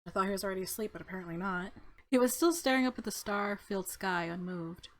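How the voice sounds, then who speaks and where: A woman's voice: medium pitch, fast talker. A woman narrating a visual novel aloud in the first person, expressively, as a let's player.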